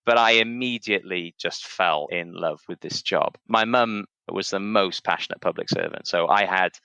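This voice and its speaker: A man's voice: sits low, moderate pace, gravelly, pushed and bright, smooth, no room sound. A man in his thirties from Wales with a Welsh accent, a podcast guest talking thoughtfully about his upbringing and career, hedging often with "kind of" and "I suppose". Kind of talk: reflective conversational interview.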